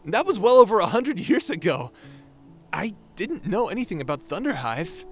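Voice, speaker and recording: Man playing a high-pitched, animated, awkward young space lord. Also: normal speed, slightly rough, faint music behind, exaggerated voice. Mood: wry, wistful. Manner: hesitant, stammering, wry. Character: awkward, sympathetic young male lord revived from century-long stasis. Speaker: voice actor in an audio roleplay. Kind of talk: conversational audio drama acting.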